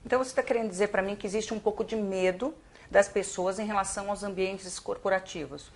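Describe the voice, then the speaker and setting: A woman with a Brazilian accent hosting an interview show, presenting and asking questions in polished, deliberate phrasing. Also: medium pitch, steady tone, a little hiss or hum.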